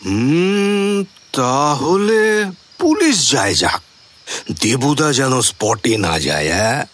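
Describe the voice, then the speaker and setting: A man playing a jocular, teasing brother-in-law in a radio drama, playful and drawn-out in his delivery. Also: medium pitch, very animated, fairly bright tone, full character voice.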